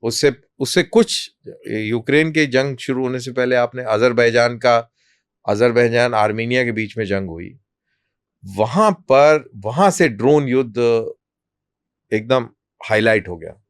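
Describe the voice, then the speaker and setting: A man gives explanations as a guest in an interview. His voice is smooth and clear, at an average pitch. He talks in a measured, deliberate way, pausing between short phrases, and the recording is very dry. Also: no echo. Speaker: army colonel, interview guest.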